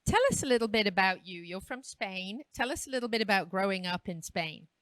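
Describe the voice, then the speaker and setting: A woman hosting a talk show interviews a guest at an equine hospital. She speaks at a middling pitch, asks open questions, and the recording is close and dry. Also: fairly quick, fairly bright tone, no echo.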